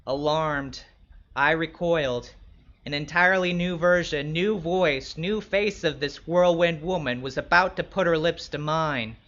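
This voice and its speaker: A man plays a wary young escort telling his story in the first person. The voice is bright and forceful, a bit high, with a wry, measured delivery. The recording is slightly dull. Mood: wary, suspicious. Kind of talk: first-person literary narration.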